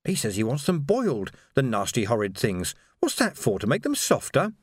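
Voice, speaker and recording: A man's voice: medium pitch, huge pitch swings, moderate pace, husky, no room sound, studio quality. A man voicing a fussy, grumbling household servant who chatters and gossips. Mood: gossipy.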